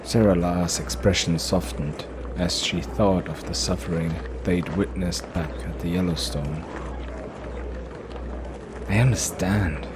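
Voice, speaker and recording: A man's voice: deep voice, steady tone, measured pace, few pauses, background noise. A man reading scripted, dramatic fantasy narration as the storyteller of an audio drama.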